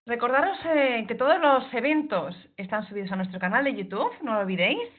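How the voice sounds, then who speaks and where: A woman hosting an online event, introducing the guest speaker and making brisk announcements. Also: on the high side, big pitch swings. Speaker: event host. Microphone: video call. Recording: tinny phone-like online call audio.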